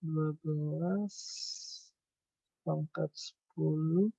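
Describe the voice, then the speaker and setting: A man teaching an informal online lesson, thinking aloud through figures in a halting way and checking with his listeners. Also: fairly high pitch, fairly even intonation, vocal fry. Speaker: accounting tutor. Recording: echoey room, dull lo-fi online-call audio.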